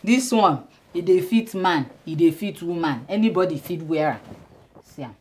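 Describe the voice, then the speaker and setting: A woman's voice: on the low side, lively intonation, normal speed, darkish tone, a little hiss or hum, close to an everyday voice. A woman with a Nigerian accent playing a sassy, teasing, emphatic wife in a film comedy. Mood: showing off. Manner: emphatic, playful, repetitive. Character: sassy, teasing wife bossing her man. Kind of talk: film acting in Nigerian Pidgin.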